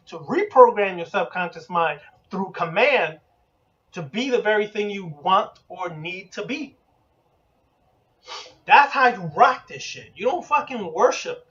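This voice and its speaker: A man with a fairly high voice lectures bluntly and swears freely, hammering home points with rhetorical questions. He sounds set back from the microphone, and the sound is dull and lo-fi. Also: a bit bright. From Puerto Rican heritage. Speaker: occult teacher. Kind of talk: profane lecture. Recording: distant, muffled lo-fi recording.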